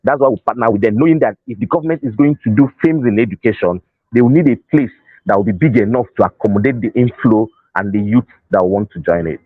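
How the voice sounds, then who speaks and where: Male interview guest, Nigerian accent, slightly low pitch, dry recording, explanatory delivery with rephrasing. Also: fast talker, husky, dry recording, narrow, tinny audio. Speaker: interview guest, festival organiser. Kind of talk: unscripted interview talk.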